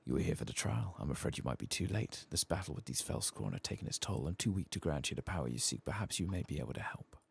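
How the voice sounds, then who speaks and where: Man voicing a weary, gravelly dragon. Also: extremely low pitch, animated, fast, hardly any pauses, exaggerated voice. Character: weary, solemn dragon pleading for its captured brood. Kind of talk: reading game quest text aloud.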